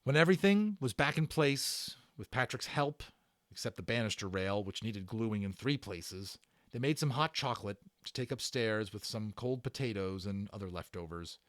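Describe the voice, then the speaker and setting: A man giving an expressive, dramatic read-aloud of a children's book as narrator. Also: on the low side, normal speed, dry recording, studio quality. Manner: expressive, performed reading. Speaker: narrator and voice actor.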